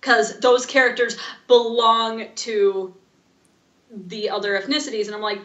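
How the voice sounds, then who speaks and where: A woman's voice: a little high, fairly even intonation, a little slow, a bit bright. A woman co-hosting a podcast in casual chat, with slightly muffled, echoey sound. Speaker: podcast co-host.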